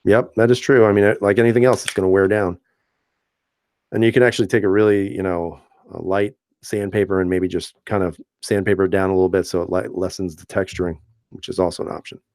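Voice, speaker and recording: A man hosting a casual live stream, reading viewer comments aloud and answering in a relaxed, chatty way with frequent fillers and easy laughs. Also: deep voice, fast, dry recording, clean studio sound. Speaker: channel host reading live chat.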